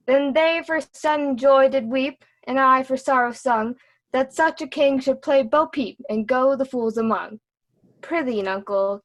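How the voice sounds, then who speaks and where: Woman doing a taunting shakespeare jester, highish voice, teasing and singsongy. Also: close to an everyday voice. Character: witty, taunting court fool, cheeky young jester. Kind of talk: Shakespearean stage reading.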